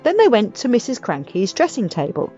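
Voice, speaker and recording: A woman narrating a children's audiobook in an expressive, scripted storytelling style. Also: a little high, brisk. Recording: muffled audio with music behind.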